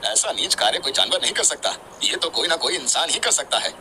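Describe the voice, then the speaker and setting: A man's voice: on the high side, lively intonation, a little fast, sharp tone, faint music behind. A man voicing a pious, indignant Brahmin priest with exclamatory, pleading speech in a devotional story. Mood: disgusted.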